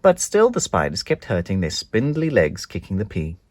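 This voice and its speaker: A children's read-aloud narrator with a slightly husky voice and a close, dry sound. The delivery is slow and hugely expressive, rising and falling across each phrase. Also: sits low, dry recording. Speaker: storybook narrator.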